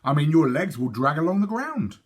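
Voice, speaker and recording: A storybook mother, voiced by a man, with raised, lively pitch. The character reasons gently and sounds doubtful. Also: a little fast, slightly rough.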